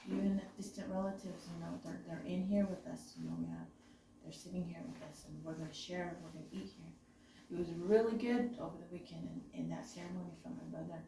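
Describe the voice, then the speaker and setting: A woman from the Navajo Nation with a raspy voice from illness, talking reflectively and haltingly with many "you know"s. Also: on the low side. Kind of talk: personal reflective talk. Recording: echoey room, distant mic, faint noise.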